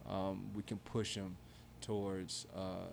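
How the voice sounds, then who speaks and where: Man, 30s, lowish voice, earnest and reflective podcast co-host, faint background hiss. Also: flat delivery, takes it slowly, gravelly, a little mellow. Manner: earnest, reflective, speaks in restarts and fillers. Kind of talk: conversational discussion.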